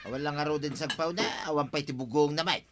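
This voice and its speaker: A man's voice: on the high side, fairly even intonation, fast talker, loud music behind the voice, lightly acted. A man with an Ilocano accent playing a grumbling, henpecked village captain in a comedy radio drama.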